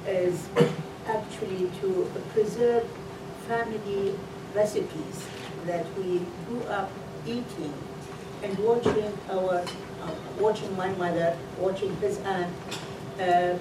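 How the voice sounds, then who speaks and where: Middle-aged woman from iraq, slow halting talk, roomy hall with loud background noise. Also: medium pitch. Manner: slow, halting, many fillers. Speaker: food truck co-owner, guest. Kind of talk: panel talk, personal story. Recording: roomy, distant mic, loud ambience.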